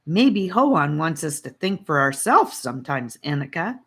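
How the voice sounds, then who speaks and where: A woman voicing a serious, self-reliant boy who plans ahead, firm and measured, in an audiobook. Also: low-pitched, big pitch swings, breathy edge, heavily acted. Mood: curt. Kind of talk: audiobook character voice.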